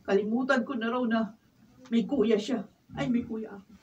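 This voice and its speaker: An actress performs a caring younger sister with a high, emotional voice. She is pleading and distressed, in the manner of Filipino radio drama. The sound is slightly distant, with faint noise behind her. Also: fairly even intonation, moderate pace, lo-fi sound, lightly acted. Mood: hurt.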